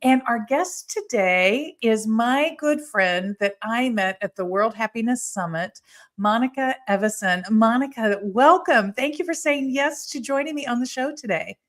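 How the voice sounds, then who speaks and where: A woman's voice: a little high, lively intonation, a little slow, fairly bright tone. A woman hosting a live podcast over a video call, greeting viewers by name in a chatty way. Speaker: podcast host. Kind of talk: live-streamed podcast chat.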